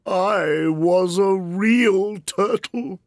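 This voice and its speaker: A man voicing a sorrowful, sobbing male Mock Turtle who speaks slowly and mournfully in a hollow tone. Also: big pitch swings, dry recording, studio quality. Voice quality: deep hollow tone. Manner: slow, mournful, sighing.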